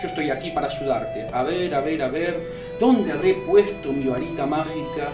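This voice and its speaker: A man's voice: on the high side, normal speed, over music, close to an everyday voice. A man playing a fussy, flippant fairy godfather in comic radio theatre, theatrical and brusque, with an Argentine accent. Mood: kindly, fussy.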